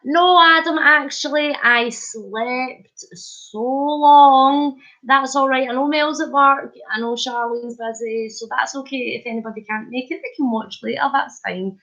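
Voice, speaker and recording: A woman's voice: sits high, steady tone, unhurried. A Scottish woman chatting casually with viewers on a live stream, greeting them by name, her voice a little thick from a head cold and sore throat. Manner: chatty, warm greetings, chuckles. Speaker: vlogger hosting a live stream. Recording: lo-fi dull sound.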